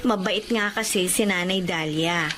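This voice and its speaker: An actress playing a mild, dutiful young wife who speaks in a lively way. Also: female voice, on the low side, measured pace, slight background noise.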